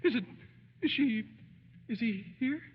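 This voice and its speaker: A man's voice: very high voice, animated, measured pace, breathy edge, full character voice. An actor playing a frantic, anxious young husband and new father in old-time radio drama style. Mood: frantic, flustered. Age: young adult. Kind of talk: 1930s-40s radio drama acting. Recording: muffled, noisy, reverberant old radio recording.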